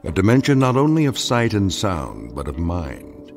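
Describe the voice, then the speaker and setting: A man delivering a dramatic scripted intro narration, slow and ominous. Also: sits low, wildly swooping pitch, exaggerated voice. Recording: loud music behind, distant sound.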